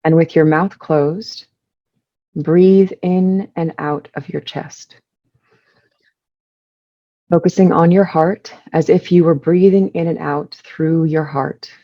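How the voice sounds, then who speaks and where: A woman leading a guided meditation, giving soothing instructions in measured short phrases with pauses between them. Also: low voice, steady tone, muffled recording.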